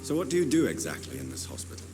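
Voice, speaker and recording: A man playing an earnest, formal doctor and war veteran in a video game, polite and measured in speech. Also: medium pitch, wildly swooping pitch, over music. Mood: measured, inquiring. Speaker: video game character actor. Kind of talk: video game dialogue acting.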